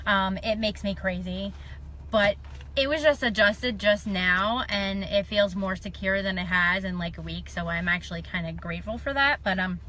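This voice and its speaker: Woman, slight lisp, bright tone, middle pitch, chatty live-stream vlogger, lots of fillers. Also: moderate pace, poor audio. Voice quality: slight lisp from a dental appliance. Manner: chatty and self-deprecating, many fillers. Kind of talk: casual personal live-stream chat.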